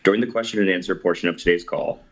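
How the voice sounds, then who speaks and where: A man with a very deep voice reads out a formal corporate call introduction. He moderates in a scripted, official way. The webcast audio is a little dull. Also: fairly even intonation, rapid-fire, creaky. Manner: formal, reading out. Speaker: head of investor relations, call moderator.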